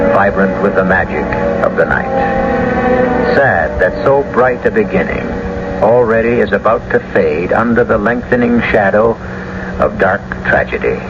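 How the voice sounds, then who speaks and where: A man's voice: fairly low pitch, normal speed, over loud music, natural voice. A man hosting and narrating a radio drama in a solemn, literary, dramatic manner. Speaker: host and narrator. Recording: muffled old radio broadcast recording.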